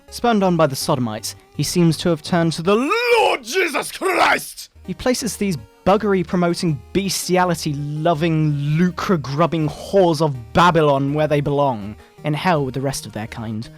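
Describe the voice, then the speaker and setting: A man voicing a pompous, sanctimonious fundamentalist preacher parent in a mock-dramatic sermon, with theatrical, drawn-out emphasis. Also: fairly high pitch. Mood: fervent righteousness. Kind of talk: mock-dramatic reading of a moral-panic sermon. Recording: background video game music.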